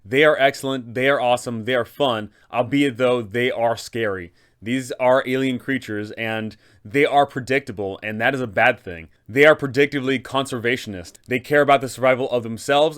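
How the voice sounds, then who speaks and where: Male host for tabletop game advice: enthusiastic, punchy delivery at an average pitch, stressing key phrases. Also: fairly bright tone. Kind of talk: enthusiastic scripted explainer for game masters.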